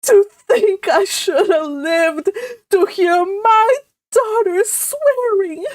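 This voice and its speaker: A woman's voice: lively intonation, a little slow, no room sound, studio quality. A woman voicing a weepy, fussy middle-aged mother who sobs and frets shrilly. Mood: sobbing. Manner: sobbing, shrill and indignant.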